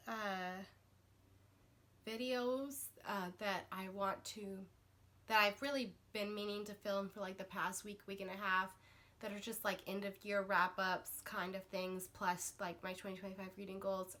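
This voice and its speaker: A woman's voice: medium pitch, bright, forceful tone. A woman book vlogger getting over a cold, chatting to camera in a rambling way with lots of fillers and restarts. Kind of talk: casual chat to camera.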